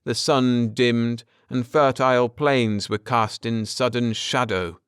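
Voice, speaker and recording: A man narrating a science fiction story as an audiobook, with theatrical, deliberate phrasing and dramatic emphasis. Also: medium pitch, fairly even intonation, clean tone, dry recording, clean studio sound.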